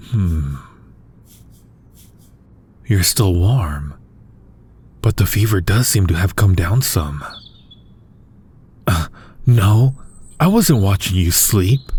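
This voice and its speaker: A man playing a supervillain who gently cares for his hero nemesis in an ASMR audio roleplay, soft-spoken, dryly teasing and reassuring. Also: deep voice, lively intonation, slow pace, pauses often, slightly rough, heavily acted. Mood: teasing, caring.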